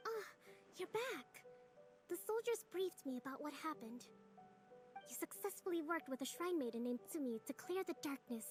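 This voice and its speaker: A poised young female leader with a composed manner. Her voice is strikingly high, and soft game music plays behind her. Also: lively intonation, normal speed. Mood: calm.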